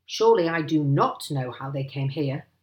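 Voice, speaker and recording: A woman's voice: on the low side, animated, moderate pace, highs cut off. A woman voicing a furious, haughty male landowner in a storybook, blustering, bullying and demanding. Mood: outraged.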